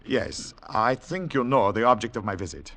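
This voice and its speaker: An actor playing a haunted secret agent on the run, narrating in dramatic, deliberate, clipped phrases in 1940s radio thriller style. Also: male voice, on the low side, expressive, fairly bright tone. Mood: urgent, controlled. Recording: noisy 1940s radio recording with clicks.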